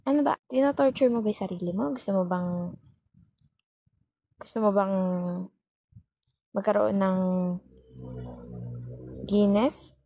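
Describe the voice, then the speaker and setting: A woman acts out a young Filipino girlfriend in an intimate ASMR roleplay, fussing and scolding out of worry. Her voice is dark and breathy, recorded very dry and close. She is bossy and sulky yet caring, repeating her complaints for emphasis. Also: mid-range voice, measured pace, pauses often, no room sound. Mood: annoyed, sulky. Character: nagging, worried, overthinking young girlfriend, bossy but caring.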